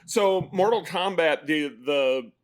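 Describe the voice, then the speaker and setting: A man co-hosting a film podcast, talking casually in a rambling way with pauses and restarts. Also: a little high, very noisy. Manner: rambling, many fillers and restarts. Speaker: podcast co-host. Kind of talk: casual chat.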